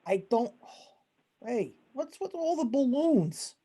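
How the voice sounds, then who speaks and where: A woman's voice: a little high, very animated, slow, mellow, breathy edge, exaggerated voice. A woman playing an exasperated, anxious middle-aged male mobster and family father in an amateur comic radio play. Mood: irritated. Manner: exasperated, anxious, slangy wiseguy talk. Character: harried, short-fused middle-aged male mob boss and family father.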